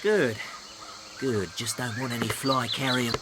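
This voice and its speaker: A man's voice: medium pitch, steady tone, a little slow, natural voice. An actor playing a blunt, wary wounded man stranded in the bush, in a video game cutscene. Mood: wary, gruff. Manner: blunt, colloquial. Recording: loud jungle insect noise.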